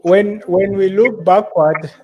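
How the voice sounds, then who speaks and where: A man's voice: sits high, fairly even intonation, slow, highs cut off. A man hosting a casual fitness live stream as a coach, talking in a conversational way. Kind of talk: casual chat.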